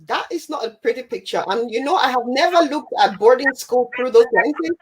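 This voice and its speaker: A woman's voice: high voice, expressive, normal speed, breathy edge, poor audio. A woman from Cameroon hosting an interview, attentive and affirming. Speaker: host.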